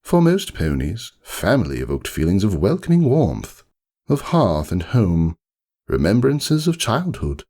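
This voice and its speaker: Male actor as aristocratic unicorn, very low pitch, highly animated melody, pompous, ruminative, melancholy, dramatic storybook monologue. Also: unhurried, exaggerated voice. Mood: wistful, formal. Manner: pompous, wordy, theatrical, ruminative. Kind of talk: dramatic storybook reading, first-person monologue.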